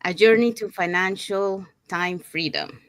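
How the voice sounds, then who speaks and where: A woman's voice: medium pitch, a little slow. A woman from Panama hosting an online business livestream over a video call, upbeat and chatty with many fillers and restarts. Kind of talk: presenting a live webinar promo.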